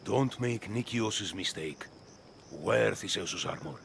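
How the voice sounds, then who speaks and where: A man playing a gruff, guilt-haunted collector in a video game, speaking in a brooding, clipped, cryptic way. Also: on the low side, takes it slowly, fairly bright tone, a little hiss or hum, heavily acted. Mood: bitter, brooding. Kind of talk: video game cutscene acting.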